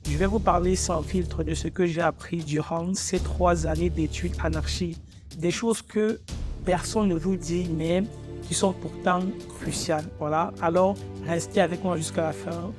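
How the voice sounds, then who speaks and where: Higher-voiced young man vlogging over music. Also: fairly even intonation, a little slow. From Africa. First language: English. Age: 20s. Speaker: architecture student presenting his own channel. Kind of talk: personal vlog, talking to viewers.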